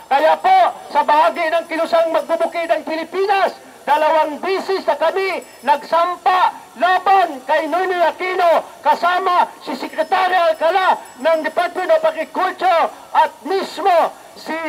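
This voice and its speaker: A Filipino man speaks as an activist at a protest. His voice is very high for a man, and there is faint noise behind his speech. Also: rough recording. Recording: outdoor rally with faint background noise.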